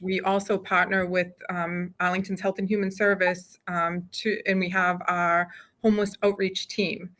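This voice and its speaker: A woman speaking as a police chief in an interview, halting often with ums as she explains things. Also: on the low side, little pitch movement, fairly bright tone. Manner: hesitant, halting delivery with frequent ums. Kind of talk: interview answers.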